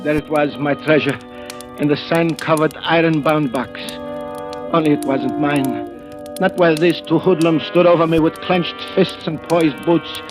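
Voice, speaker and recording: Man acting frantic old man, high-pitched, old radio drama. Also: fairly even intonation, measured pace, lightly acted. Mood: brooding narration. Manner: pleading, stammering, overwrought. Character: frantic, greedy old man guarding his treasure. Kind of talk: 1940s radio drama acting, first-person narration. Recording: old radio recording with music behind.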